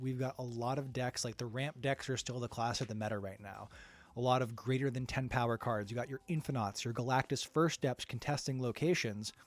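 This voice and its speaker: A man with a nasal voice, stuffy from a cold, giving an upbeat, chatty card game strategy guide with plenty of fillers. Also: mid-range voice. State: under the weather, stuffy. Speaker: card game content creator.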